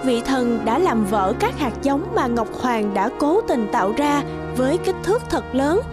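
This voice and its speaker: A woman reading scripted narration for a children's animated fairy tale. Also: high-pitched, a little slow. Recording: loud music behind the voice.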